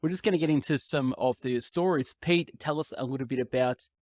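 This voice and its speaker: An upbeat man hosts a podcast, asking his guest long, rambling questions in a mid-pitched voice. His voice is recorded close and dry. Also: fairly quick, darkish tone, no echo. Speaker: podcast host. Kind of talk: interview hosting.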